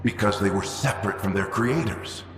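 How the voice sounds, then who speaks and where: Man acting a deep-voiced, driven military officer. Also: moderate pace, faint music behind, lightly acted. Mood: insistent, driven. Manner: firm, persuasive, insistent. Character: driven, defiant military officer and visionary father. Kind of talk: video game voice acting. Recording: echoey reverberant hall.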